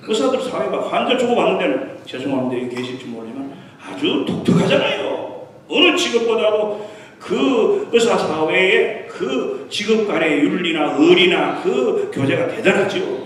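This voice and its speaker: A middle-aged man tells stories from the pulpit in an animated sermon, his voice rising and falling widely and sitting a little high. He sounds far from the microphone, and the church hall gives him a heavy, long echo. A faint hiss runs underneath. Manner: animated, anecdotal, mixes English terms. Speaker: guest revival pastor. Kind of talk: storytelling sermon. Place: church sanctuary.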